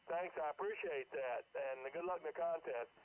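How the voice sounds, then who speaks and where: A man's voice: a little high, moderate pace, slight background noise, low-quality recording. A man working a radio contest over the air, courteous and formulaic, reading out phonetic call signs through a two-way radio. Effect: two-way radio transmission. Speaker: ham radio contest operator.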